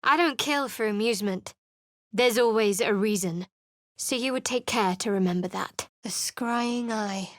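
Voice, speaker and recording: A woman's voice: on the high side, unhurried, dry recording, natural voice. A woman gives voice to a secretive young cleric in a fantasy game, clipped and sardonic, keeping everyone at arm's length. Her voice sits a little above the usual, and the studio sound is close and completely dry. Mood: wry. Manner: dry, wry and curt, quietly secretive. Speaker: game character.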